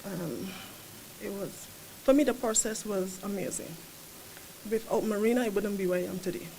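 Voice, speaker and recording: A woman's voice: medium pitch, moderate pace, vocal fry, slight background noise, poor audio. A woman in her thirties with a Caribbean accent, hesitantly sharing her personal recovery story at a press event, speaking into a podium mic. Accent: Caribbean English. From Barbados, raised in Saint Lucia. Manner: hesitant, soft-spoken, plain storytelling. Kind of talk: personal testimony. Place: conference room at a press event. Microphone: podium mic on a stand.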